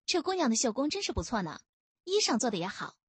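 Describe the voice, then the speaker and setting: Woman voicing a spirited, cheeky young policewoman, animated. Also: a little high, fast talker, breathy edge, dry recording, full character voice. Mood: matter-of-fact, keen.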